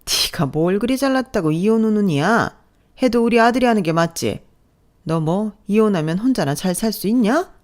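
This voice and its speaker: A woman voicing a domineering middle-aged mother-in-law who sneers and belittles in a sharp, high voice, as part of a told story. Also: animated, normal speed, lightly acted. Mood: scolding. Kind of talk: storytelling with acted dialogue.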